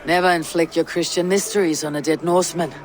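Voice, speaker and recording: A woman playing a stern, level-headed Viking warrior in a video game, speaking in a grave, measured, commanding way. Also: fairly low pitch, steady tone, faint music behind, a faint crowd, close to an everyday voice. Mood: firm, commanding. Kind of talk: dramatic video game acting.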